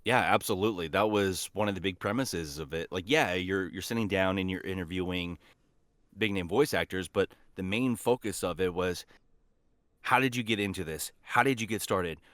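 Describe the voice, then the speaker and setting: A man's voice: sits low, moderate pace, fairly bright tone, dry recording. A man chatting casually as a voice actor guest on a podcast, speaking in an enthusiastic, earnest way.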